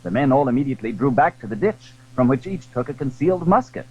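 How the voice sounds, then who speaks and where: A man's voice: mid-range voice, lively intonation, quick pace, warm tone, muffled recording. A man narrating a classic adventure novel in dramatic, scripted storytelling style.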